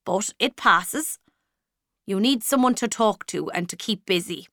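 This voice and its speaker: A woman reading the lines of a kindly male parish priest in a story, gentle and reassuring. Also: mid-range voice, animated, a little slow, no room sound, studio quality.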